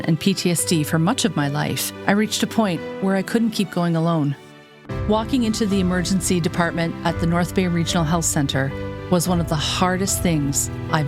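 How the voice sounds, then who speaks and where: A middle-aged woman reading a scripted testimonial appeal in a sincere, measured way. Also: lowish voice. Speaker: charity appeal speaker. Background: music.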